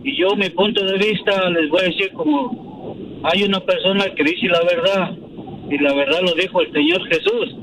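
A Mexican man calling into a radio show, indignant and halting, often repeating himself. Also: sits high, fairly bright tone, a little hiss or hum, low-quality recording. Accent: Mexican Spanish.

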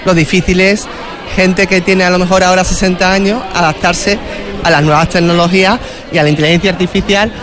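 A woman's voice: fairly low pitch, little pitch movement, a little fast. A woman, a nurse, speaking hesitantly with many restarts at an outdoor event. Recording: outdoor event with crowd noise. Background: crowd chatter.

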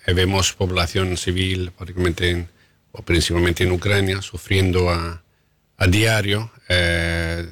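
A middle-aged man, an ambassador whose first language is Italian, giving measured interview answers with many hesitations and tag questions. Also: sits low, fairly bright tone, no echo. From Italy. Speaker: EU ambassador, guest.

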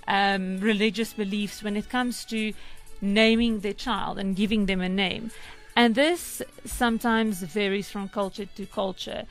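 Chatty South African radio presenter woman. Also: on the high side. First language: Afrikaans. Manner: warm, chatty, with frequent ums. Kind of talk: conversational radio feature.

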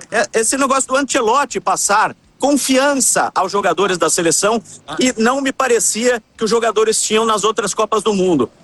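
A man reporting for a sports talk show, telling his story in a calm, descriptive way. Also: high-pitched. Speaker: reporter covering the national team.

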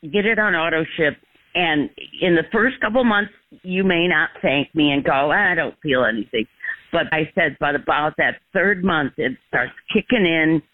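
A man's voice: fairly high pitch, no echo. A man chattily and enthusiastically telling a customer story. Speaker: customer giving a testimonial. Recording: tinny phone line.

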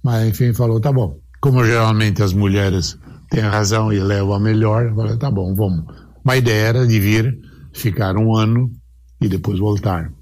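A man's voice: on the low side, fairly even intonation, a little mellow. An older man who grew up in Italy, telling family stories unhurriedly in an archived interview. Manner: unhurried, anecdotal, quotes relatives.

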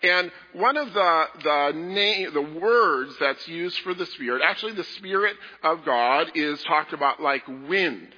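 Gentle playful pastor, echoey church hall. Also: male voice, fairly high pitch, expressive, unhurried, hardly any pauses, breathy edge. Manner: gentle, playful, talking to children. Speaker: pastor leading a children's message. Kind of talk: children's message in a church service. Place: large church sanctuary. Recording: echoey hall, dull lo-fi sound.

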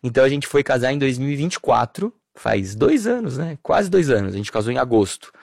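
A Brazilian man in his thirties, an interview guest, telling childhood memories in a warm, nostalgic way with many fillers. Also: medium pitch, big pitch swings, a little fast, vocal fry, slightly rough, dry recording, clean studio sound. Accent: Brazilian Portuguese. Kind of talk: relaxed personal conversation.

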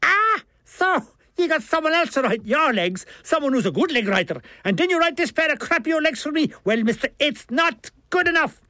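Man putting on an indignant, high-pitched Dublin layabout. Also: very animated, moderate pace, sharp tone, no room sound, clean studio sound, exaggerated voice. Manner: theatrical, complaining, exclamatory. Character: indignant, lazy, argumentative Irish village man in comic audiobook.

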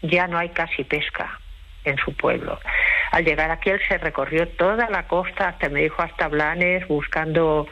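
A tired woman interviewed by phone, giving a personal account in a halting, reflective way. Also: deep voice, fairly even intonation, fairly bright tone. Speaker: interview guest, host to migrants. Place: remote phone call. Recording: muffled, band-limited phone call.

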